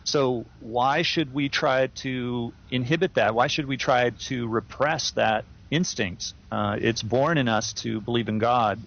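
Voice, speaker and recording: A man's voice: medium pitch, measured pace, vocal fry, hardly any pauses, fairly bright tone. A man co-hosting a podcast, giving firm opinions in a casual chat with fillers and restarts. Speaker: podcast co-host. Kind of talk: casual chat, reading listener email. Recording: dull lo-fi sound.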